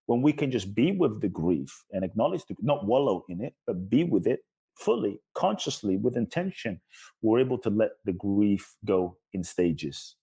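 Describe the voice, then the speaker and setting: A man's voice: on the low side, sing-song, normal speed, mellow, breathy edge. A man speaking as a guest spiritual teacher in an interview, earnestly explaining in emphatic, repeating lists. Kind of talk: earnest self-help teaching in conversation.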